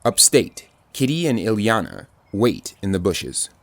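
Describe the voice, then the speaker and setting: A man's voice: on the low side, very animated, a little slow, faint music behind. A man narrating superhero action in an audio drama, in a scripted dramatic storytelling style. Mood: dramatic narration.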